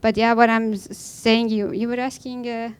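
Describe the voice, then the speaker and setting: Clear-voiced woman with an Estonian accent. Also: on the high side, little pitch movement, normal speed. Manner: blunt, informal, non-native phrasing. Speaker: panel guest, professional snowboarder. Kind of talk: conversational personal account on a panel.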